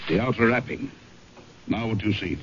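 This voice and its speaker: An actor playing a tormented, darkly sardonic aristocrat in an old-time radio drama, speaking in a formal, accusing way. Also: male voice, on the low side, moderate pace, lightly acted. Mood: grave, ominous. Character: bitter, tormented aristocrat betrayed by his wife, darkly sardonic. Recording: muffled, noisy old radio broadcast.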